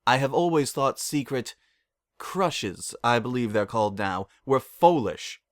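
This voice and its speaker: A man's voice: mid-range voice, animated, measured pace, no echo, studio quality, close to an everyday voice. A man voicing a stern, imperious female pony princess of the night with formal, archaic, commanding speech. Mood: measured, regal.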